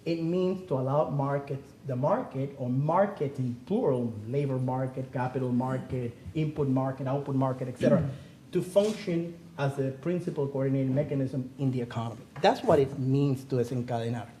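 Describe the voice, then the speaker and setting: A man's voice: medium pitch, fairly quick, mellow, a little hiss or hum, poor audio. A middle-aged Cuban-born man giving an earnest academic seminar talk as a panelist, pressing his points with rhetorical questions. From Cuba. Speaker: panelist speaking on the economy. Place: theater.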